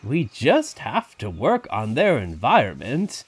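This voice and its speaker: A man's voice: mid-range voice, huge pitch swings, measured pace, slightly rough, heavily acted. A man playing a proud, stubbornly optimistic elderly park owner in an audio drama, with a theatrical delivery. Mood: measured. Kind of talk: fan audio drama acting.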